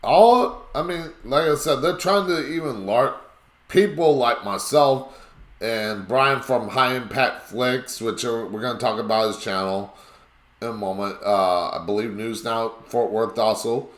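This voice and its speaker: A man with a raspy voice hosting a live call-in talk show, talking in a rambling, emphatic way. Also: mid-range voice, unhurried, fairly bright tone, echoey room.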